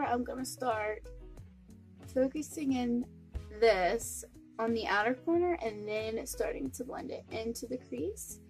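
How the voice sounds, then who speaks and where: A woman's voice: fairly high pitch, poor audio. A young woman with a cold and a stuffy nose, chatting through a makeup tutorial step by step. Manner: chatty, friendly step-by-step explaining. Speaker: beauty vlogger.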